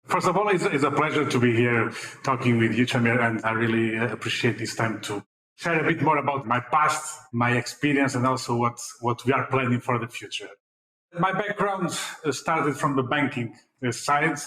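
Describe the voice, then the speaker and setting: A man in his forties with a Portuguese accent answers interview questions, halting often on fillers and restarted words. His voice sits at a middle pitch. The room around him is echoey. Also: a bit bright. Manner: hesitant, many fillers and repeated words. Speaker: fintech CEO guest.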